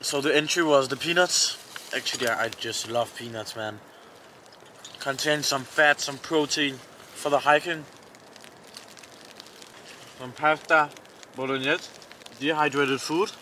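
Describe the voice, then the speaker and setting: A man speaks with a Danish accent in a bright, forward voice at a middle pitch. He narrates camping tasks casually, in short halting phrases, like an outdoor vlogger. The recording is made outdoors, with some noise behind him. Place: outdoors at a winter campsite.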